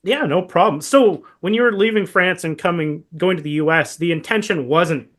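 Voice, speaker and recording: A man's voice: high voice, moderate pace, a bit bright. Male podcast host, informal and conversational, choppy delivery in a casual interview.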